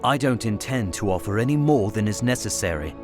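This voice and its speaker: A man's voice: fairly low pitch, expressive, a little fast, lightly acted. Man acting a terse, guarded knight commander. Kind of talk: dramatised fantasy audiobook dialogue. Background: background music.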